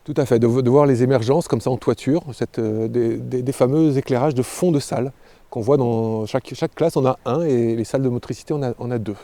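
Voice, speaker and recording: A man giving a spontaneous guided explanation as an architect, halting and often repeating words as he goes. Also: mid-range voice, mellow, dry recording. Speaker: architect presenting a building project.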